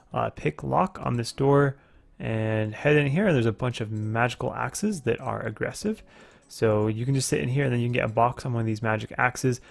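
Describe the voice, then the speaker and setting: Gravelly young man narrating a game guide. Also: medium pitch. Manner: plain, matter-of-fact, with filler uhs. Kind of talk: voice-over tutorial narration. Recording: faint background music.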